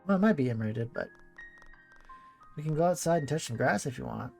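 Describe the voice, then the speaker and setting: A man's voice: mid-range voice, expressive, brisk, vocal fry, darkish tone, soft background music. A man giving casual let's play commentary as host, rambling along in a laid-back, hesitant way. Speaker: gaming video host.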